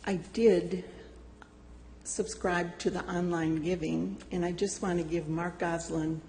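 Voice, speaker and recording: An older woman reading a prepared personal testimony to a church congregation, slow and deliberate with gentle humor. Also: lowish voice, fairly even intonation, in a large hall, a little hiss or hum. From United States. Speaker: church member giving a testimony. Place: church sanctuary.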